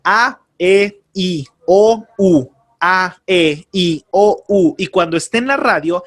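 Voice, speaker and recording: A man teaching a lesson on radio announcing, speaking earnestly and calmly, giving advice straight to his listeners and repeating key terms. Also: high-pitched, takes it slowly. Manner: earnest, advisory, addresses listeners directly. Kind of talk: spoken course lesson.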